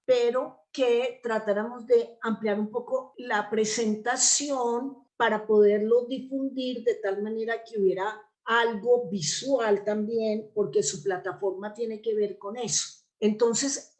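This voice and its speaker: A woman's voice: on the high side, darkish tone. Colombian woman explaining in an interview. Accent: Colombian Spanish. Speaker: interview guest, theatre actress and playwright. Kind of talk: conversational interview answers.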